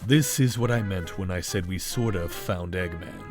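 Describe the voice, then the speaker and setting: A man's voice: sits low, moderate pace, over music. A man voicing a serious young male chameleon detective who talks in a clipped, formal, dry way. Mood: stern.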